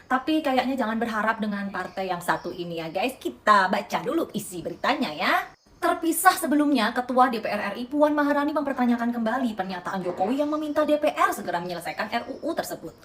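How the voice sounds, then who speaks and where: A woman's voice: fairly high pitch, lively intonation, quick pace, a bit bright. A woman host reads out news items and adds sarcastic remarks aimed straight at her viewers. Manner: sarcastic, addresses viewers directly. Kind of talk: reading news with sarcastic commentary.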